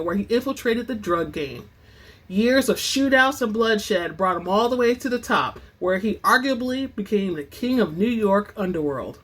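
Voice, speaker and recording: A narrator reading and presenting fiction in an expressive, theatrical storytelling manner as host of a reading channel. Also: mid-range voice. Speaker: audiobook-style reader and channel host. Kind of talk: dramatic book narration; casual chatty sign-off to listeners.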